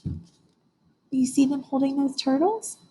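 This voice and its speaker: A woman teacher reading a picture book aloud to young children, expressive, often pausing to question her listeners directly. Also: high voice, a little hiss or hum. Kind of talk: informational read-aloud lesson for young children.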